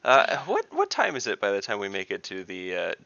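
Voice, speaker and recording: A man jokes loosely and crudely as a player in an online tabletop game. His delivery is extremely animated, with a gravelly edge and a forceful brightness. The sound is close and dry. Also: fairly low pitch, a little fast, no echo, dull audio. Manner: bawdy, jokey, loose. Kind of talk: casual joking banter. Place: online group voice call.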